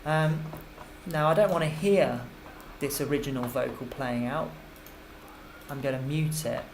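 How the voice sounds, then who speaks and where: A man giving an informal, step-by-step software tutorial as a music technology teacher, explaining as he goes. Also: medium pitch, a little slow. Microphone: computer microphone. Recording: clearly noisy computer microphone recording.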